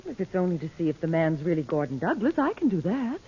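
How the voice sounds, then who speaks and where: An actress playing an anxious, determined middle-aged gentlewoman in an old radio serial, speaking earnestly and with feeling. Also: on the low side, sing-song, fast, breathy edge, full character voice. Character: Dot, anxious, determined gentlewoman helping the hero. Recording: muffled old radio recording.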